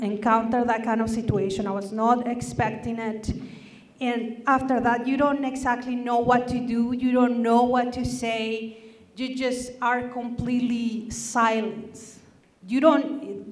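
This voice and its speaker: A woman in her forties from Mexico with a Mexican Spanish accent, telling a personal story earnestly and deliberately as a panelist on a stage. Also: on the high side. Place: large auditorium stage. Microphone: table microphone on stand. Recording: roomy hall, mic somewhat distant.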